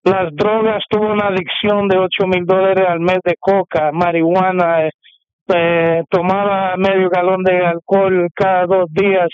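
Guest, male, from the US; high, unhurried, halting testimony on a muffled radio feed. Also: level tone, smooth, no echo. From United States. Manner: halting, many fillers and restarts. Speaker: interview guest. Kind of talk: testimony interview. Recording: dull muffled radio sound.

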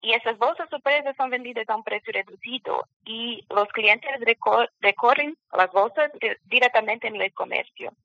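A woman from Brazil with a Brazilian Portuguese accent, a phone guest speaking halting Spanish as a learner, stopping to search for words. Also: a little high, no room sound, muffled recording. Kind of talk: phone interview. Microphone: phone line.

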